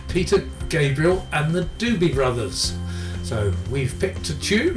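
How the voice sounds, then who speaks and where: A man presenting a music radio show in an informal, hesitant way, reeling off record details. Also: fairly high pitch. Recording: roomy sound with music behind.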